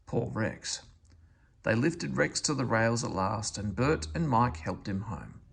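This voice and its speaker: A man's voice: on the low side, lively intonation, takes it slowly, creaky, breathy edge. A man reading a children's story aloud as a scripted narration.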